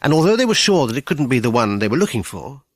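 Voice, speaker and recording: A man narrating a children's story in an expressive, scripted storytelling manner. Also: medium pitch, fast talker, no room sound, clean studio sound. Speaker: audiobook narrator.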